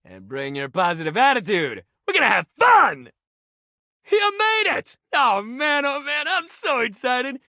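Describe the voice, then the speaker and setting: Upbeat game jock: friendly bro hype, bright forceful delivery, wildly swinging pitch, dry close recording. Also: male voice, wildly swooping pitch, a little slow, no room sound, heavily acted. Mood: excited, encouraging. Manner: bro-ish, casual slang, hype-man energy. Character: upbeat, friendly jock sports-equipment guy, hyping up a newcomer. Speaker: video game character. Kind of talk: animated video game voice acting.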